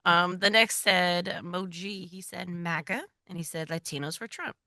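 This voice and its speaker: Radio co-host lady, lowish bright voice, laughy, dry sound. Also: unhurried, no echo. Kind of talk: casual talk radio.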